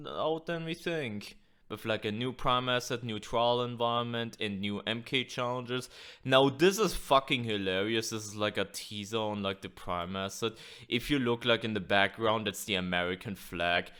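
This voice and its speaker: A man's voice: fairly low pitch, fairly bright tone, clean tone. A young man hosting a gaming channel, talking casually with lots of fillers while sick and losing his voice. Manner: casual, filler-heavy, swears. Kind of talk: casual video commentary.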